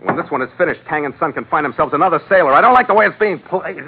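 An actor playing a tough, cynical, wisecracking sea captain with blunt, slangy delivery in an old-time radio drama. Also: male voice, a little high, expressive, racing, slight background noise. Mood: dismissive. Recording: tinny old radio broadcast with music behind.

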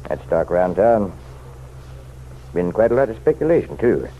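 Deep-voiced, insinuating small-town busybody. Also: male voice, sing-song, normal speed. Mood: sly, needling. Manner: folksy, chummy, leaning and persuasive. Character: insistent, insinuating townsman, nosy self-appointed helper to the sheriff. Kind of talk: old-time radio drama acting. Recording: muffled, noisy vintage radio recording.

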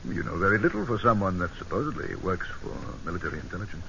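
Stern, sharp-voiced British colonel. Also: male voice, sits low, sing-song, fast talker, vocal fry, heavily acted. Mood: sceptical. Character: suspicious, stern British army colonel with a quick temper. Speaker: actor and narrator. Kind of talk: old-time radio drama acting. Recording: muffled old radio broadcast, faint hiss.

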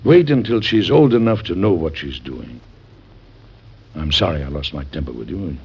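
Stern patriarch President for vintage radio drama. Husky, low, American, authoritative and protective, dull old recording. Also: male voice, expressive, normal speed, exaggerated voice. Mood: softening, apologetic. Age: middle-aged. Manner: emphatic, formal, authoritative. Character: stern, protective President and father, hot-tempered but loving.

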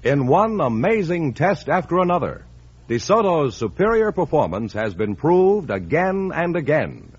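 A man's voice: on the high side, sing-song, a little slow, breathy edge, highs cut off. An American man announcing in a polished, emphatic 1950s radio advertising style on a vintage recording.

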